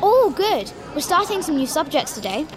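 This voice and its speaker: A man voicing a brisk, bossy, clever teenage schoolgirl in a prim tone. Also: very high voice, big pitch swings, crowd noise, voice pushed up.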